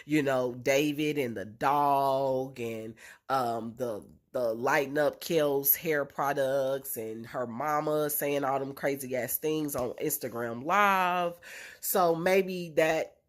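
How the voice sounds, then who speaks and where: A young woman with a congested, nasal voice from a cold, recapping a reality show in a chatty way with lots of ums and blunt slang. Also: sits low. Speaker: reality TV reviewer. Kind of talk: casual recap and opinion vlog.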